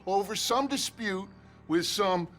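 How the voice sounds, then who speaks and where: A man's voice: sits high, a little slow, fairly bright tone, soft background music. A man playing a silver-tongued Old West outlaw gang leader with an American accent, folksy and grandiloquent, in a video game. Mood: earnest, wry. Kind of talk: video game cutscene acting.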